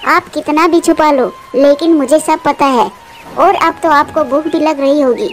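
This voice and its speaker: High-voiced anxious mother bird, played by a woman. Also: unhurried, a little hiss or hum. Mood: pleading. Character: anxious, devoted mother bird, tender and self-sacrificing. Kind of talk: Hindi moral cartoon dubbing.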